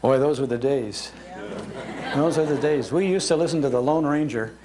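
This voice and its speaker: A man's voice: mid-range voice, moderate pace, very noisy. An older American man giving a nostalgic, enthusiastic storytelling talk about the past. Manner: enthusiastic, acts out quoted lines. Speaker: speaker on old-time radio history. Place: public library talk.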